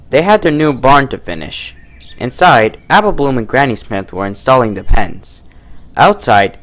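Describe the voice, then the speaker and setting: Animated man narrating a story. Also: mid-range voice. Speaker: fan fiction reader and narrator.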